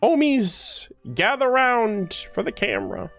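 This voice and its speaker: A man's voice: sits high, huge pitch swings, takes it slowly, creaky, a bit bright, soft background music. A man streaming a game and reacting casually, slangy and exclamatory, chatting to his viewers.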